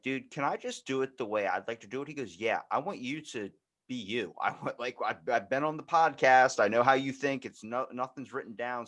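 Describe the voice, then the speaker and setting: A man's voice: medium pitch, a bit bright. A man hosting a podcast in casual conversation, talking in a rambling, informal, slangy way. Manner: rambling, informal slangy talk with frequent 'like'. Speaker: podcast host.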